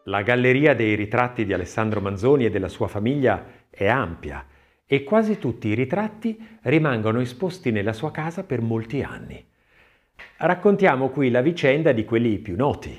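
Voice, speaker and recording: Expressive male narrator with a lively pitch range. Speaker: audiobook narrator. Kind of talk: scripted literary narration, expressive.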